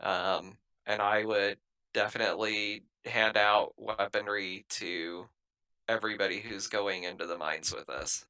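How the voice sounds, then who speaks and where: A man playing a tabletop role-playing game, talking through plans and in-character lines in a measured, articulate way. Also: fairly low pitch, steady tone, sharp tone, clear, resonant tone, poor audio. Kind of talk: improvised in-character talk and planning.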